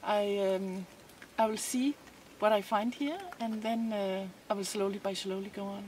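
A middle-aged woman from Denmark speaks in a thoughtful, searching way, often repeating words as she feels her way forward. Her voice sits at an ordinary middle pitch. Faint outdoor ambience runs behind her. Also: fairly even intonation, moderate pace, darkish tone, distant mic, a little hiss or hum, low-quality recording. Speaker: visual artist. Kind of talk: casual interview. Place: outdoors by a whitewashed stone wall.

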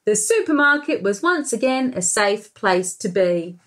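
A woman's voice: medium pitch, animated, a little slow, fairly bright tone. A woman in her thirties with an Australian accent, a preschool teacher reading a storybook aloud expressively with comments for young children. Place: small bright room indoors.

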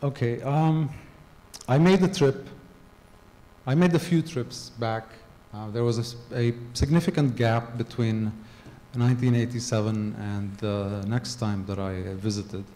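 A middle-aged man from Gaza telling a personal story to a conference audience into a handheld mic, halting with frequent ums and restarts. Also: medium pitch, steady tone, dark tone. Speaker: conference speaker. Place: large hall with a stage. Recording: long hall reverb, faint ambience.

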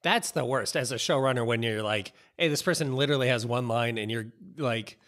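A middle-aged man talks in a medium-pitched voice. He is a casual podcast host who feels his way through sentences haltingly and informally. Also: a bit bright. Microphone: boom-arm podcast microphone, headphones.

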